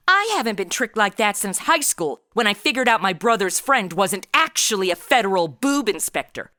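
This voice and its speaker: Snarky american superhero gal, bright n animated, close dry sound, audio comedy. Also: female voice, medium pitch, moderate pace, dry recording. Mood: unimpressed. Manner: sarcastic, breezy. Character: wisecracking, unimpressed modern superheroine. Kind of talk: comedic audio drama acting.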